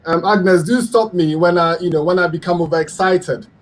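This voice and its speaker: A middle-aged man from Ghana speaks as a guest expert on a radio health talk. His voice sits a little high for a man, and he explains things earnestly, with many ums and restarts. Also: normal speed.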